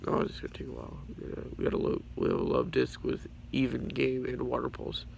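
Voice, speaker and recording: A teenage boy with a raspy, deeply gravelly voice, worn down by a few days of being sick. He rambles casually and apologetically through his card collection, tripping over names as he goes. The home recording is low quality, with a faint hiss underneath. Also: very animated, fast talker, a little mellow.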